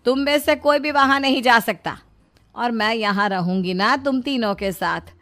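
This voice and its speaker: A woman's voice: a little high, normal speed, fairly bright tone, pitched above the performer's own voice, close to an everyday voice. A woman voicing a shrewd middle-aged mother-in-law in a story, coaxing, motherly and firm. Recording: music behind the voice.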